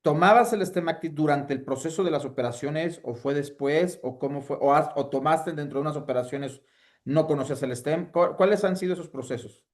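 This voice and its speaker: A man's voice: on the high side, a little fast. A man interviewing a guest, asking inquisitive follow-up questions.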